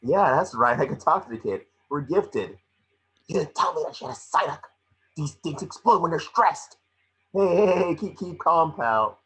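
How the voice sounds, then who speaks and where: A man's voice: on the high side, animated, moderate pace, a bit bright, slightly rough, exaggerated voice, rough recording. A man playing a small, cocky, wisecracking creature detective, snarky and bossy, in an amateur script reading. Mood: excited, urgent.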